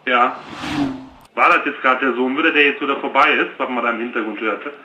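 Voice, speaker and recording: A man's voice: mid-range voice, fast, bright, forceful tone. A man taking a phone call, answering patiently and earnestly in unscripted conversation. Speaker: call taker being pranked. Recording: muffled phone line, faint noise.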